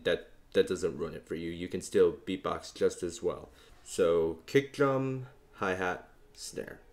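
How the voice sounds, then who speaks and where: A young man with a very low bass voice walks the listener through beatbox sounds. He talks casually and rambles a bit, leaning on fillers as he explains. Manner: relaxed, rambling, many fillers. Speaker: beatbox tutorial host. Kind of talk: casual instructional talk.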